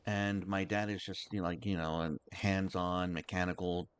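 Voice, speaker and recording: Male streamer host, lowish voice, rambling and halting casual chat. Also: fairly even intonation, smooth. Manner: halting and rambling, many restarts.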